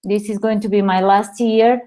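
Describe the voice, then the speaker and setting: A woman with a Portuguese accent presenting a webinar over a video call, halting often and restarting her sentences. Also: medium pitch, fairly even intonation, warm tone. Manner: hesitant, frequent pauses and restarts.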